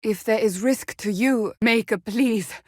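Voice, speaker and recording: A woman playing a stern, devout warrior in a fantasy video game, forthright and formal in her measured, earnest speech. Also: fairly high pitch, no echo, studio quality. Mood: urgent, grave.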